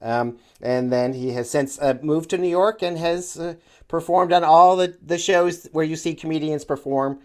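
A man's voice: a little high, moderate pace. Hesitant, joking podcast co-host man. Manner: hesitant, full of "uh" fillers, wordplay. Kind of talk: casual podcast chat.

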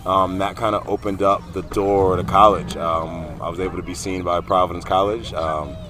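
A young adult man from Delaware speaks with an American accent. His voice is low-pitched. He talks in a casual, conversational way as a podcast guest. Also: fairly even intonation, normal speed. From Delaware, United States. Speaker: podcast guest, soccer goalkeeper and coach. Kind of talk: casual interview chat.

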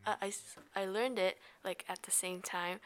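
A woman whose first language is Chinese, chatting casually in English as a podcast guest, giggling and letting her phrases trail off softly. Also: mid-range voice, steady tone, normal speed. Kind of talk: casual chat.

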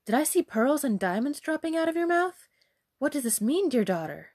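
A woman's voice: mid-range voice, normal speed, a little mellow, natural voice. A woman voicing a harsh, greedy, commanding mother in a storybook tale, full of exclamations. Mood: astonished.

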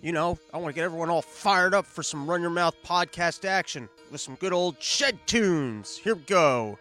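A man's voice: a little high, moderate pace, pushed and bright, faint music behind. A man hosting a solo comedic podcast live, brash and profane, rambling through riffs. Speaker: podcast host. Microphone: studio mic on a live stream.

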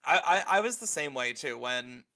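Bright-voiced thirty-year-old man chatting casually. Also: medium pitch, lively intonation, normal speed. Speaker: podcast co-host. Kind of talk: casual chat.

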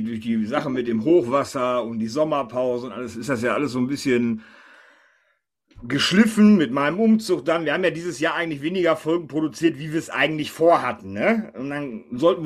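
A male podcast host with a slightly high voice. He talks casually and chattily, steering the banter and teasing his co-hosts as he goes. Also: slightly rough.

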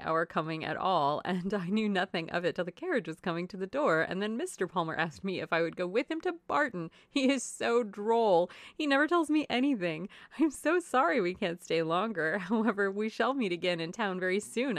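A woman voicing a merry, silly young married gentlewoman in a classic novel, effusive and giggly. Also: medium pitch, expressive, moderate pace, few pauses, no room sound, clean studio sound, lightly acted. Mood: gushing, merry. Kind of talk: audiobook character voice, classic novel.

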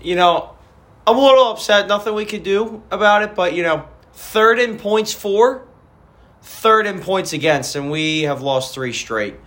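A man hosting a casual fantasy football podcast, his voice raspy from a weekend party, rambling with frequent restarts. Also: sits high, lively intonation, unhurried, fairly bright tone. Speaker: podcast host.

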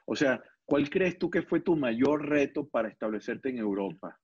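A man interviews in an informal, conversational way. His voice is of medium pitch and rather husky. The recording sounds slightly dull and muffled. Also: lively intonation, moderate pace, darkish tone.

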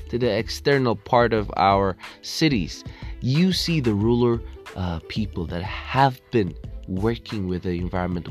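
Young man from ethiopia, solo podcast host, rambling with fillers and restarts, lowish voice, faint music behind. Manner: rambling, many fillers and restarts, self-deprecating. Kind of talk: solo casual podcast monologue.

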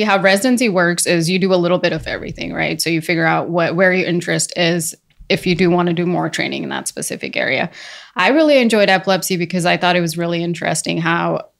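A woman's voice: on the low side, vocal fry, a bit bright. A woman in her thirties, a neurologist guest, explaining her training in a friendly, chatty way with easy laughs. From India. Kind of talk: casual podcast conversation.

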